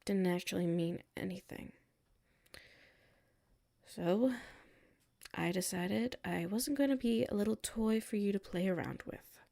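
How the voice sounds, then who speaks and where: A woman's voice: medium pitch, measured pace, gravelly, long pauses, warm tone. A woman playing a hurt, defensive tsundere coworker with a secret crush in a roleplay audio, exasperated and emphatic, dropping into sulky murmurs. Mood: wounded, confessional. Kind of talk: roleplay audio acting, one side of a conversation.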